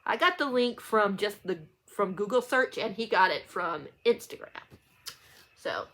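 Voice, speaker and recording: A woman's voice: mid-range voice, animated, a little slow, bright, forceful tone, a little hiss or hum. A woman talking casually to viewers in a rambling, informal book vlog, often getting sidetracked. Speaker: book channel vlogger.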